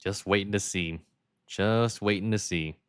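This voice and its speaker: A man's voice: on the low side, fairly even intonation, unhurried, smooth, dry recording, clean studio sound. A man giving casual, conversational play-by-play commentary on a card game, often speculating aloud with questions. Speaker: match commentator.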